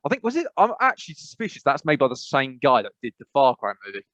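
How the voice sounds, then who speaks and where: An English man chatting on a podcast, rambling through his thoughts and stressing words by repeating them. His voice sits at an ordinary pitch. The recording is dry and a little muffled. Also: quick pace, no room sound. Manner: rambling, animated, emphatic repetition. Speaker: podcast co-host. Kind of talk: casual chat.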